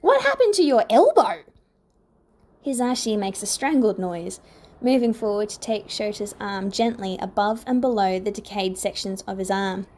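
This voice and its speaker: A woman reading scripted fiction aloud as a narrator, with expressive storytelling delivery. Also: mid-range voice, normal speed, a little hiss or hum. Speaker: podfic narrator.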